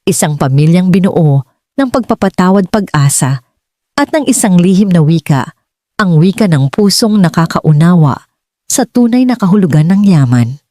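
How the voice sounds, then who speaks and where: A Filipino-accented woman narrating a scripted dramatic story in a measured, earnest way, as for an audiobook. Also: on the low side, lively intonation, mellow, dry recording, studio quality.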